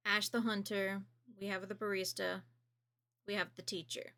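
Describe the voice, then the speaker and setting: A woman's voice: mid-range voice, flat delivery, moderate pace, pushed and bright. A woman giving casual, blunt gaming commentary as a streamer, with informal, sweary phrasing. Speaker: game streamer reacting to and reviewing a demo.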